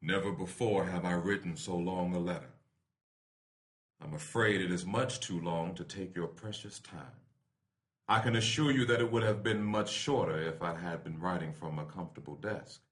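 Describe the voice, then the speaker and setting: A man giving a solemn, measured, oratorical reading of a letter, with deliberate pauses. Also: low-pitched, a bit bright. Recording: echoey room.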